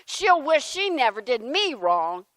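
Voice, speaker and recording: A woman's voice: high voice, very animated, measured pace, fairly bright tone, dry recording, full character voice. A woman playing a flustered, defensive churchgoer at prayer, exclaiming indignantly in a comic dramatic reading. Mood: vengeful.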